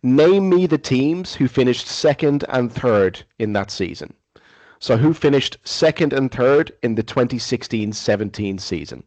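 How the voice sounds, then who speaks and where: A man's voice: mid-range voice, expressive, darkish tone, dry recording, poor audio. Man hosting a football quiz podcast. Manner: measured, reads questions slowly, frequent ums and uhs. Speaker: quiz host.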